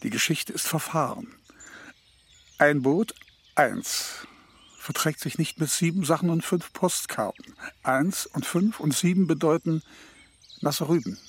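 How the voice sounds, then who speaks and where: A man's voice: a little high, animated, a little slow, creaky, long pauses, slightly rough, exaggerated voice. A man playing Good Advice come to life, a pompous, touchy, ponderous figure in a children's radio play. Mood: pondering. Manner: ponderous, pedantic, riddling.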